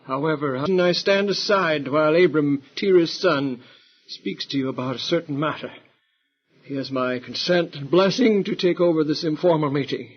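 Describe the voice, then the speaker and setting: An actor playing a venerable, proud family elder in an audio Bible drama, formal and declamatory. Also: male voice, on the high side, moderate pace, slightly rough. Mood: stately, authoritative. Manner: declamatory, stately. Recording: dull lo-fi recording.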